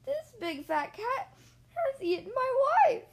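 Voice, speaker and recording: A small, fretful husband bird from a children's storybook, voiced high and emotional, as a woman puts him on. His pitch climbs steeply and swings widely. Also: very animated, slow pace, heavily acted. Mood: distraught.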